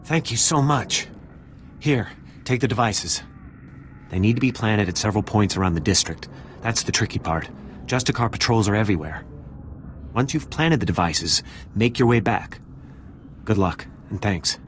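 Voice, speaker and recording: An actor playing a nervous, jumpy security officer in a video game, with an anxious, hesitant way of talking. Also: male voice, lowish voice, expressive, moderate pace, long pauses, slight background noise, natural voice. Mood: eager, grateful. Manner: hesitant, anxious, hushed urgency. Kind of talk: video game character acting.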